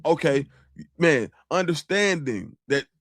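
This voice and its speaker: A man co-hosting a casual live-stream podcast, reading viewer donations aloud in a relaxed, slangy, grateful way. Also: fairly high pitch, takes it slowly, dry recording, clean studio sound. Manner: relaxed and grateful, slangy, calls people dog and bro. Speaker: show host reading super chats.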